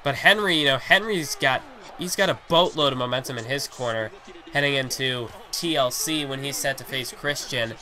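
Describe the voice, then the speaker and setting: A man with a hoarse, fading voice doing rambling play-by-play commentary on a wrestling video game, with many filler phrases. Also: mid-range voice, normal speed, sharp tone, low-quality recording. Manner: rambling, heavy on fillers like "you know". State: hoarse, losing his voice.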